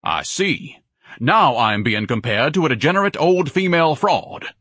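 A man's voice: a little high, animated, moderate pace, slightly rough, no echo, studio quality, voice pushed up, heavily acted. A man doing a pompous, indignant grown son. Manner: grandiloquent, huffy, loud.

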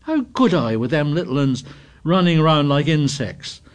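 A man doing Piggy, a shrill indignant schoolboy. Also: expressive, unhurried. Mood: protesting. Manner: scolding, breathless, dialect grammar. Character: indignant chubby schoolboy, fussy and anxious.

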